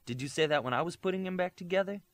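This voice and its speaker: A man's voice: sits high, rapid-fire, voice pushed up, close to an everyday voice. A man voicing a confident, teasing young superheroine in an audiobook, bold and pointed. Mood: sneering.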